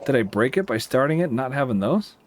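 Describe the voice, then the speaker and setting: A man with an American accent giving casual, explanatory commentary over a simulator game, thinking aloud in a halting, rambling way. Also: mid-range voice, big pitch swings, a little hiss or hum. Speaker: air traffic controller streaming a simulator game.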